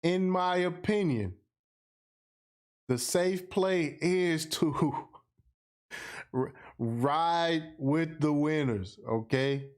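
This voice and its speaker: A man's voice: fairly high pitch, extremely slow, pauses often. An American man hosting a casual livestream podcast, speaking in a slangy, emphatic way and repeating phrases for effect. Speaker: podcast host. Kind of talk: casual livestream monologue, responding to viewer comments.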